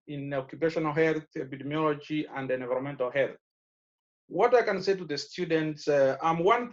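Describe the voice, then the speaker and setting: A man with a Kenyan accent giving rambling, casual advice from his office over a video call, with many fillers. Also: on the high side. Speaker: alumnus speaking at a graduation. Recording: muffled video call audio.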